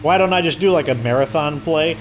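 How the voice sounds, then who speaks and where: Hoarse male gamer, casual rambling commentary, game audio clearly audible behind. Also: a little high, fairly quick, slight background noise, low-quality recording. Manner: rambling, filler-heavy explaining. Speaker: let's play host.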